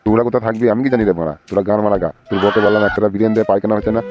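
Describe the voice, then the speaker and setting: Man playing a grumbling, low-voiced old village father-in-law. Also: steady tone, very fast, lo-fi sound, natural voice. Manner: grumbling, coarse, demanding. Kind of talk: comedy cartoon dubbing. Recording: music playing behind the voice.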